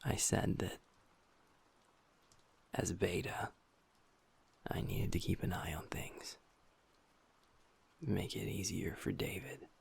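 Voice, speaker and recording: Soft sad werewolf bf, a guy doing it, super husky half-whispered voice, gravelly, audio rp. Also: low voice, fairly even intonation, takes it slowly, long pauses, full character voice. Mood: subdued. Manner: soft, hushed, intimate talk to a partner. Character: gentle young male werewolf boyfriend, pack beta, guilt-ridden. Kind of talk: audio roleplay.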